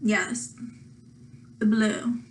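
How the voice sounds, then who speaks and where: A young woman with a slightly raspy voice chatting casually through a makeup tutorial, thinking aloud and pausing as she works. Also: mid-range voice, fairly even intonation, a little hiss or hum. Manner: thinking aloud, drawn-out pauses while applying makeup. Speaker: makeup vlogger.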